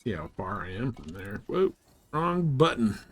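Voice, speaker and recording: A man's voice: on the low side, sing-song, unhurried. A man livestreaming a video game, rambling casually in an easygoing way with some mild swearing. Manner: rambling, easygoing, mildly profane. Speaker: live streamer playing a space game. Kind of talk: casual gameplay chat.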